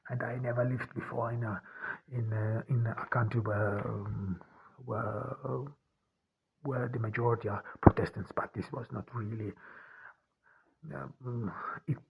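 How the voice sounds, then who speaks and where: A man from Austria, an author speaking in a personal podcast monologue, halting and often repeating and restarting his words. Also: medium pitch, fairly even intonation, creaky, lo-fi sound, slight background noise.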